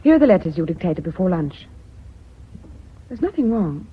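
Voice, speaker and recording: An actress playing a concerned, deferential secretary in British radio drama, polite and apologetic. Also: female voice, on the low side, big pitch swings, moderate pace, a little hiss or hum. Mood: anxious, polite. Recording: tinny, band-limited radio drama recording.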